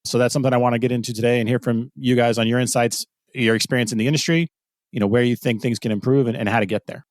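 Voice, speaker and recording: Male podcast host, mid-pitched, upbeat and conversational, dry close-miked sound. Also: fairly even intonation, fast, dry recording. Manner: upbeat, scripted intro then conversational questions. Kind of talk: podcast interview hosting.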